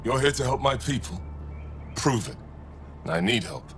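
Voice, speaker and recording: An actor playing a shrewd alien cargo trader in a video game, with blunt, clipped phrasing. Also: male voice, mid-range voice, lively intonation, unhurried, a bit bright, a little hiss or hum. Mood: blunt, businesslike. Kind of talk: video game dialogue acting.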